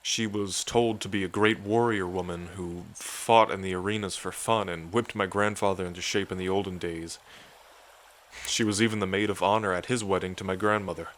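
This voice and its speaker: A man's voice: low voice, steady tone, normal speed, a bit bright. A man playing an affectionate dragon king in an intimate ASMR roleplay, speaking in a casual, tender way to a lover. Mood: musing. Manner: tender, casual, hesitant when moved.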